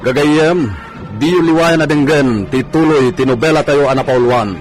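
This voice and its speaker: A man's voice: on the high side, normal speed. Guy announcing a radio serial, dramatic scripted intro, music behind him.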